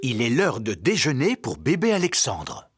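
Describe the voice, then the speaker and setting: A woman narrating a children's cartoon in a clear, measured voice. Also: rumbling low, wildly swooping pitch, no room sound, studio quality. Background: music.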